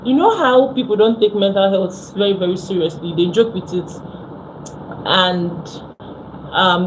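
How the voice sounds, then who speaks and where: A woman's voice: medium pitch, noisy background. A young Nigerian woman with a Nigerian accent, hosting a casual phone live stream, chatting with viewers and repeating herself.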